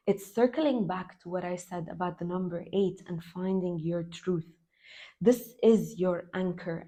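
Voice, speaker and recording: Woman, 30s, from Lebanon, self-love coach, earnest, emphatic, repeats phrases, slightly low voice. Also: warm tone. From Lebanon, raised in the UAE. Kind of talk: spiritual vlog talk to camera.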